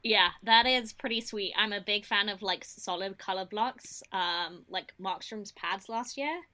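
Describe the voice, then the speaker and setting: Woman, English accent gone partly Canadian, bright voice, wry chatty co-host. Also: medium pitch, unhurried. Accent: English, softened by Canadian. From England. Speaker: podcast co-host. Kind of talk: casual podcast chat.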